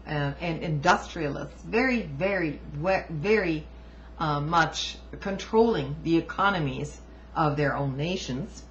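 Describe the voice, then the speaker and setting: A woman reading aloud and adding informal political commentary in a deliberate, halting way with emphatic asides. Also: on the low side. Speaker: amateur book reviewer and commentator. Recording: dull lo-fi home recording, faint noise.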